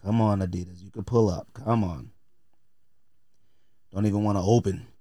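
A man's voice: low-pitched, steady tone, normal speed, warm tone, no room sound. A man hosting a podcast in a casual, opinionated rant, emphatic and exasperated, repeating phrases to drive points home. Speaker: podcast host.

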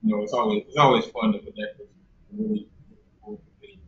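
A man's voice: lowish voice, fairly quick. Young south carolina guy, chatty, lots of ums, muffled echoey call audio, faint hiss. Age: young adult. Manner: chatty and animated, laughs often, many fillers. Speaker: guest. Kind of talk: conversational interview.

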